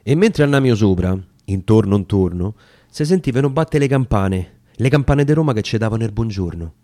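A man's voice: fairly low pitch, animated, normal speed, warm tone, no room sound, clean studio sound. A man with a Roman accent reciting narrative dialect sonnets as dramatic storytelling. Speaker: actor reading poetry.

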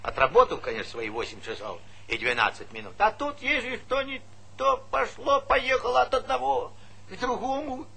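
An actor playing a talkative, teasing old village pensioner with folksy speech in a stage comedy. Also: male voice, very high-pitched, lively intonation, normal speed, creaky, pushed and bright, slightly rough, full character voice. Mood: preachy, lively. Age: older. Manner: garrulous, folksy, needling, chuckling interjections. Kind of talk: Soviet stage comedy acting. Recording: noisy 1970s theatre recording.

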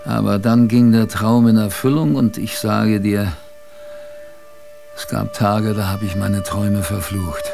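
An actor playing an older man in a radio drama, wryly recalling his youthful polar adventure with dramatic pauses and a gravelly voice. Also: lowish voice, steady tone, music in the background. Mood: wistful, wry. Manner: dramatic storytelling with weighted pauses and rhetorical questions. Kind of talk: first-person radio drama narration.

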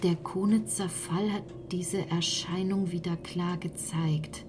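A woman playing a narrator who reads a sinister account in a radio drama, breathy and often half-whispered. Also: lowish voice, steady tone, slow pace, over music. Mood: ominous, hushed. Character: hushed female narrator reading a sinister accusatory account. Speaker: actress in a radio play. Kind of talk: scripted radio drama reading.

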